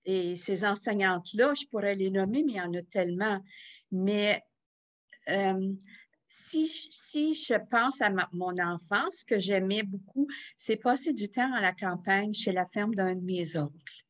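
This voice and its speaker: A woman's voice: medium pitch, measured pace. Older woman reminiscing. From Cornwall, Ontario. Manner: warm storytelling with laughs and restarts. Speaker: interview guest, community association president. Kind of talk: casual remote interview.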